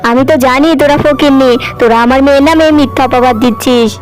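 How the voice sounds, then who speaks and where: A woman's voice: high voice, steady tone, fast, lightly acted. A woman voicing a haughty, snobbish neighbor woman in a cartoon who hurls insults. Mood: contemptuous. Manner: haughty, contemptuous, shouting insults. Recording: faint background music.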